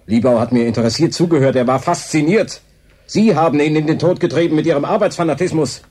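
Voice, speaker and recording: A man's voice: fairly high pitch, quick pace, a little mellow, husky, long reverb tail. An actor playing a fanatical male visionary in a German radio drama, declaiming like a preacher. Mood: indignant, threatening. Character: fanatical male visionary dreaming of a space fleet.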